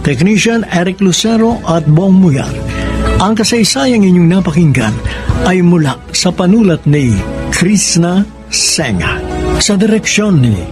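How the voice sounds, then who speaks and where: A man with a Filipino accent hosting a radio drama, speaking scripted lines in measured, formal phrases. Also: sits high, very animated, music in the background. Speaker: drama program host. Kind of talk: scripted radio drama hosting, reading letter reply and credits.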